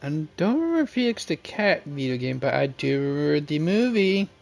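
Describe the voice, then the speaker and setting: A man's voice: on the high side, sing-song, fairly quick, vocal fry, darkish tone. A man giving casual, rambling live commentary as a game streamer.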